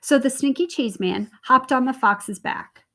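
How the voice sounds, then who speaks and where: A woman reading a children's story aloud with expressive narration. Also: sits high, unhurried. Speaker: storybook reader.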